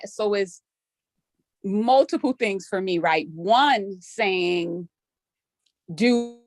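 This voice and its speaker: Woman, native Spanish speaker, mid-pitched, conversational, emphatic, thinking aloud, dry recording. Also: dry recording. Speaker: school board member. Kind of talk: informal remarks at a public meeting.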